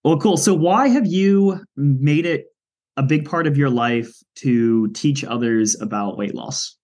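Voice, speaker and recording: A man hosting a podcast interview, introducing his guest in an upbeat way with a few halting restarts. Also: medium pitch, a little mellow. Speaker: podcast host.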